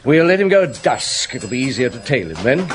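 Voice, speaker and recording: A man's voice: mid-range voice, sing-song, fairly quick, natural voice. Male, actor playing a methodical chief inspector for radio crime drama; authoritative, probing, widely varied intonation. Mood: brisk, commanding. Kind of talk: radio detective drama acting.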